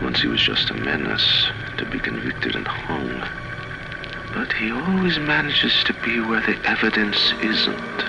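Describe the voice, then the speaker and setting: Grave male tycoon, extremely low creaky voice, oratorical, suspicious, dramatic video game monologue. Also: heavily acted, rough recording. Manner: measured, oratorical, brooding.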